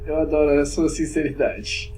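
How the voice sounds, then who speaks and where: A man with a Brazilian accent and a slightly high voice plays a tabletop role-playing game. His delivery is casual and chatty, with music clearly audible behind him. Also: fairly even intonation, normal speed, a little mellow, rough recording. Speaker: tabletop RPG player. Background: music bed.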